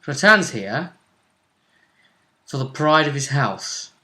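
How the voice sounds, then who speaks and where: A man with a clearly bright voice sitting at an average male pitch. He talks casually as a show host, discussing and previewing matchups in a conversational way. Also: very animated, slow pace. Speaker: host of a role-play vidcast.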